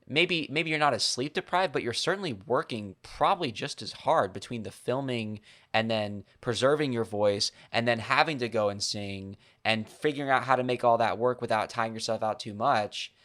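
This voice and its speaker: A man hosting a podcast interview, relaxed and thoughtful, often restarting his sentences. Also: medium pitch, moderate pace, few pauses. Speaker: podcast host. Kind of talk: casual interview.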